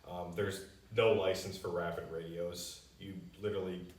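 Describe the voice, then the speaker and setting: A man's voice: deep voice, level tone, normal speed. A man giving an unscripted, confident promotional explanation, answering customer questions as a company spokesman. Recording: roomy echo, distant mic.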